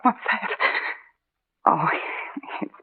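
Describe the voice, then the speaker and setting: An actress playing a frightened, insistent young wife in an old radio horror drama. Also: female voice, drawn-out words. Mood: anxious. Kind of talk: 1940s radio drama acting. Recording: tinny, muffled old radio recording.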